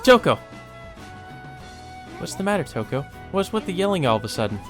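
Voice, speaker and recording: A man voicing lively, teasing teenage schoolgirls in a visual novel, bubbly and whiny. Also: a little high, brisk, music in the background, voice pushed up. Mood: exclaiming.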